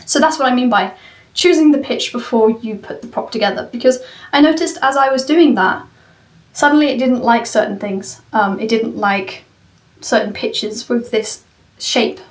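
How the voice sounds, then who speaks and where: A woman's voice: on the high side, moderate pace. A woman giving an informal walkthrough of something she built, rambling in a candid, self-deprecating way. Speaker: maker presenting a cosplay prop she built. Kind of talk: informal video walkthrough and review of a build. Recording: roomy echo, far from the mic.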